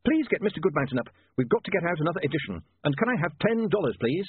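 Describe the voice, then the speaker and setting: A man's voice: fairly high pitch, sing-song, fast talker, husky, no echo, pitched above the performer's own voice, full character voice. A man voicing an earnest, frantic, educated young newspaperman with an urgent, insistent manner in an audiobook. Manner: urgent, insistent, hesitant at times. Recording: muffled narrow-band, phone-like radio sound.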